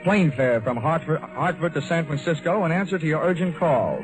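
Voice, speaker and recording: Vintage radio detective: male actor as a dry, wisecracking American investigator, animated, higher-pitched voice, old broadcast sound. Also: normal speed, music in the background, close to an everyday voice, poor audio. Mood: dry narration. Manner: wry, quipping delivery. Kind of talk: 1940s American radio detective acting, first-person narration.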